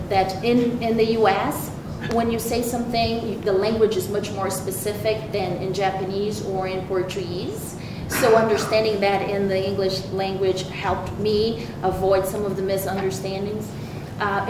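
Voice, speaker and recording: A woman from Brazil speaking as a panelist, hesitant with many fillers and restarts. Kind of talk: panel discussion remarks. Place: large university event hall. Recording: echoey hall, distant mic, background rumble.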